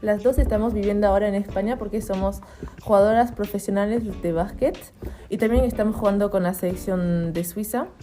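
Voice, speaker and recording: Mellow-voiced young woman, Argentine accent. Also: medium pitch, fairly even intonation, brisk, soft background music. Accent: Argentine Spanish with a French tinge. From Geneva, Switzerland. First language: French. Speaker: guest, professional basketball player. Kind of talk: casual interview answers.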